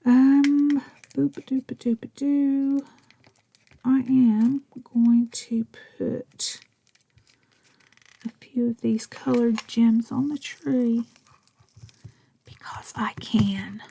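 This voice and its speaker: Slow-talking Southern woman, gravelly and dark. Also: on the high side, steady tone. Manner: rambling, self-deprecating, often half-whispered while crafting. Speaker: crafting vlogger. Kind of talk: chatty craft tutorial narration.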